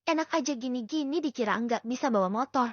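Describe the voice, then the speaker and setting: A woman's voice: high-pitched, fast, no echo. Lady reading as an easygoing caring young guy in an audiobook, dry kinda dull sound. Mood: casually insistent. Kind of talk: acted audiobook dialogue.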